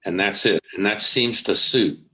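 A man, an anthropologist on a panel, speaking plainly and in a measured way. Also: lowish voice, pushed and bright, a little hiss or hum, rough recording. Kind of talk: academic panel answer.

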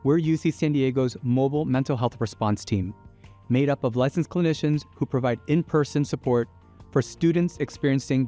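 A man giving a clear, direct scripted presentation about his team's mission. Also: medium pitch, dark tone. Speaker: team member.

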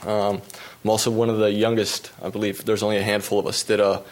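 Laid-back young cyclist telling race stories. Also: male voice, on the low side, fast, rough recording. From United States. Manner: relaxed, lots of fillers, laughs at himself. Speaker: pro cyclist giving a talk. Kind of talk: storytelling presentation with slides.

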